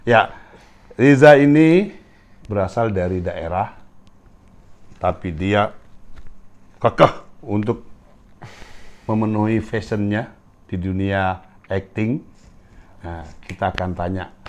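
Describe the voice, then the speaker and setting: Middle-aged male host, chatty and joking, lowish voice. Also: takes it slowly, long pauses. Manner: chatty, joking, prompts with questions. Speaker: host and acting teacher. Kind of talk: casual interview.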